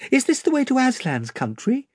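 A man voicing an earnest young girl who pleads in a high voice. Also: huge pitch swings, normal speed, husky, dry recording, studio quality, heavily acted. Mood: pleading.

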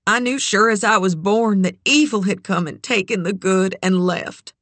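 Narrator doing a heartbroken folksy horse lady, kinda dazed and slow, pitched up, storybook drama. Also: fairly bright tone, no room sound, natural voice. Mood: haunted, grieving. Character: grieving older woman, dazed, folksy, earthy horse keeper.

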